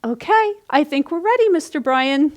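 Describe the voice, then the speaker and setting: A woman's voice: high voice, lively intonation, darkish tone, soft background music. A woman hosting a children's storytime, explaining and demonstrating simple actions step by step for young listeners. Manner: slow, explanatory, demonstrating actions as she speaks. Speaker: children's library storytime host.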